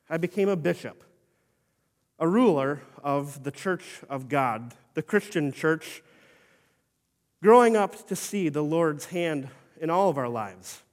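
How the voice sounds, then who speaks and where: A man's voice: fairly high pitch, long reverb tail. A man playing a solemn old bishop. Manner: slow, solemn storytelling with formal phrasing. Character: Saint Nicholas, elderly bishop, humble and stern. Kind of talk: first-person dramatic monologue.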